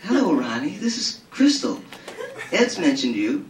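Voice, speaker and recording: A breathy, teasing woman purring down a phone line, as a man reading aloud puts her on. She sits high above his own voice. The sound is roomy and heard from some distance. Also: huge pitch swings, a little hiss or hum. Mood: coy teasing. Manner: breathy, coy, drawn-out. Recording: roomy hall, mic at a distance.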